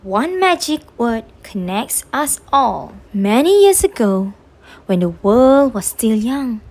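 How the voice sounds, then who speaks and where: Woman, children's read-aloud narrator. High voice, very animated swings, drawn-out phrasing. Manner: expressive, drawn-out delivery for young children. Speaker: storybook reader on a children's channel.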